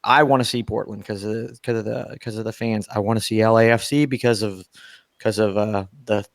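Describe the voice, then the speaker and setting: A hoarse Midwestern man chatting on a fan podcast, his voice gravelly with fry. He rambles and circles back on his words but stays upbeat. The recording is dry and close. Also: on the low side, steady tone, a little fast, no room sound. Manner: rambling, repeats himself, upbeat. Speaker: podcast co-host. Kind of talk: casual chat.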